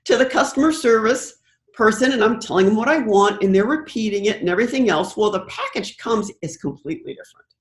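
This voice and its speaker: Woman, American accent, animated intonation, conversational storyteller, thoughtful, reverberant room sound. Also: medium pitch, fast talker. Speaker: interview guest, broadcast producer. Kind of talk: conversational anecdotes in an interview. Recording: roomy, echoing sound.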